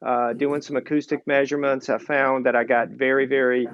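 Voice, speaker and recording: A man's voice: medium pitch, level tone, brisk, fairly bright tone. A man interviewing someone in a casual, conversational way, building up gradually to his questions, on a slightly muffled recording. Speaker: interviewer, audio magazine editor.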